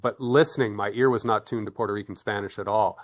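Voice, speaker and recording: Middle-aged man, lowish voice, podcast host, rambling, stumbles and repeats words, chatty. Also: steady tone, quick pace. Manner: rambling, repeats words and restarts, laughs easily. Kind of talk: casual chat.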